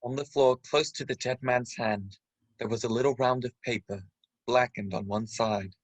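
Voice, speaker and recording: A man playing the narrator of a radio play, gravely recounting a boyhood adventure. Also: mid-range voice, steady tone, normal speed, dry recording, natural voice. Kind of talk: scripted dramatic narration.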